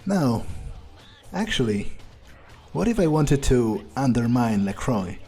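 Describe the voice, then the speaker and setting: A man's voice: medium pitch, big pitch swings, slow pace, warm tone, faint music behind. A man roleplaying a callous, amused vampire in a video game, musing in a drawling, self-satisfied way. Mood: wary, probing. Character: smug, amused, callous male vampire schemer. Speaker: gamer playing in character.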